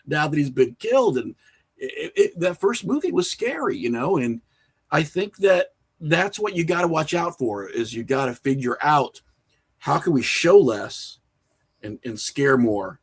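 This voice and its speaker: A man's voice: fairly high pitch, unhurried, husky. A man talking informally as an interview guest, explaining his views in loose, slangy speech peppered with filler phrases. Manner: animated explaining, frequent "you know" and "like", informal slang. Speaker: podcast guest, horror filmmaker. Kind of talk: casual interview conversation.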